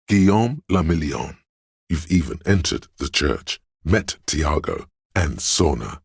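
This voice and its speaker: A man voicing a learned inner voice in a video game, reciting obscure facts in a measured, lecturing, gravelly way. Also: rumbling low, dry recording, clean studio sound, heavily acted. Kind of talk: video game scripted narration.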